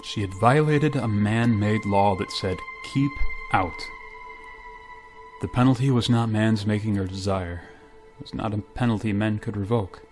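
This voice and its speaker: Low-voiced, grave spaceship pilot played by a man. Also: normal speed, darkish tone, soft background music, natural voice. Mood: somber narration. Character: weary, stoic spaceship pilot and haunted narrator. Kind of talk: 1950s science-fiction radio drama acting.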